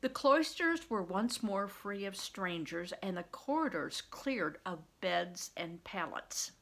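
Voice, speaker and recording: An older woman reading a story aloud like a teacher, pausing to explain and put questions to the listener. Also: medium pitch, lively intonation, fairly bright tone.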